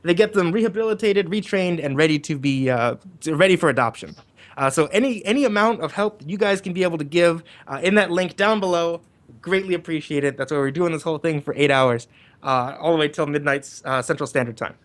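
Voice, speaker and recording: A man's voice: fairly high pitch, a little fast. A man hosting a casual livestream over an online group call, rambling and restarting his phrases as he organizes the cast.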